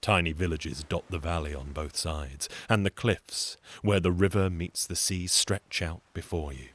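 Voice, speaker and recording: A man's voice: sits low, measured pace, a bit bright. A man telling a comic folk tale in a theatrical, conversational way, with wry, sardonic asides. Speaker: storyteller, solo show performer.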